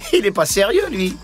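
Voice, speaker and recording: A man's voice: high-pitched, exaggerated voice. A man playing a wry, teasing, clever trickster in a children's cartoon. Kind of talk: animated children's cartoon acting.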